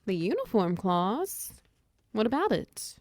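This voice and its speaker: A woman's voice: medium pitch, very animated, takes it slowly, no room sound. A woman playing a confident, flirtatious female minotaur wizard who runs a potion shop, speaking to the listener in intimate, teasing roleplay ASMR. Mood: businesslike, friendly. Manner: teasing, coy, softly breathy in places.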